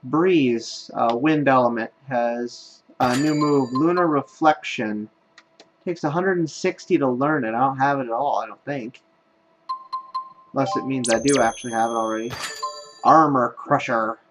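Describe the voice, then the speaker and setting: A man's voice: medium pitch, measured pace, a bit bright, faint music behind, rough recording. Casual man doing let's play commentary. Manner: thinks aloud while playing. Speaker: gaming video host.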